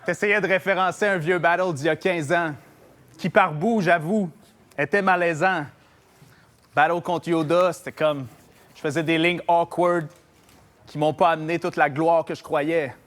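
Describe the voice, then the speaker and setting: A man's voice: on the high side, fairly even intonation, normal speed, a bit bright. A man with a Québécois French accent, a battle rapper delivering mocking a cappella punchlines. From Quebec. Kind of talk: a cappella rap battle verses. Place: live battle venue with audience.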